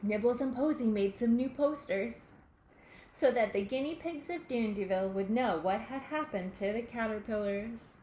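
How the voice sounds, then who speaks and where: A woman reading a children's picture book aloud, in a deliberate, expressive way aimed at young listeners. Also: fairly high pitch. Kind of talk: children's storybook read-aloud. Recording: echoey room with faint background noise.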